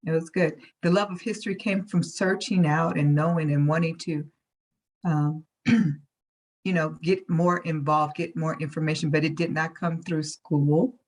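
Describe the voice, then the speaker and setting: Woman with a medium-low voice. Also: steady tone, unhurried. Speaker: workshop participant. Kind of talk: informal group report-back.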